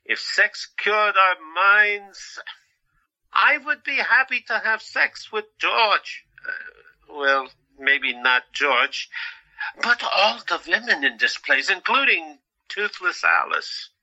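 A man acts out a playful asylum patient with a wry, melancholy streak, in an audio drama. His voice is very bright and forceful, speaking slowly with halting, teasing pauses. The recording is slightly dull. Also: sits high, very animated, full character voice.

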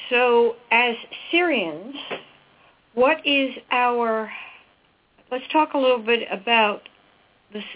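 A woman interviewer with a slightly high voice asks questions in a chatty, conversational manner. Her voice sounds thin and tinny, like through a phone. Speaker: interviewer host.

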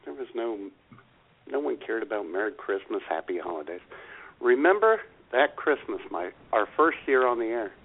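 A male radio co-host in casual comic banter, drawing out his words with pauses in mock nostalgia. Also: medium pitch, very animated, breathy edge. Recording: narrow, phone-like radio sound.

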